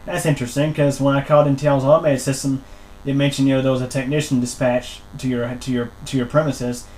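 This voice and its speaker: A man explaining a technical complaint as a customer on a phone call, wordy and halting, often repeating himself. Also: medium pitch, little pitch movement, darkish tone, slight background noise. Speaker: customer calling internet support, channel host.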